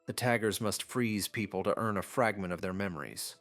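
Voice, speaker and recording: A man's voice: fairly low pitch, brisk, fairly bright tone, no echo, faint music behind. A man voicing a gleeful, sadistic godlike game master in an anime audiobook, taunting and mockingly amused.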